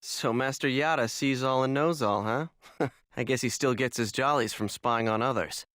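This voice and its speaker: A man's voice: medium pitch, normal speed, a bit bright, no room sound, clean studio sound, lightly acted. A man voicing a brusque young male player-hero in a game, curt, dismissive and sarcastic.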